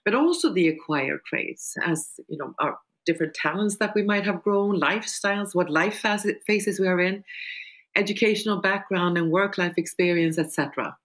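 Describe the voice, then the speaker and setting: An older woman speaking on a video panel in a measured, halting, explanatory way. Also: fairly low pitch, fairly bright tone. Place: small furnished room indoors. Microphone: video call.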